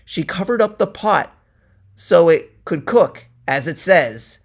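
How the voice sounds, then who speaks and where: A man giving a deliberate, theatrical dramatic reading of a satirical story, declaiming its quoted verses. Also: a little high, animated. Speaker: reader.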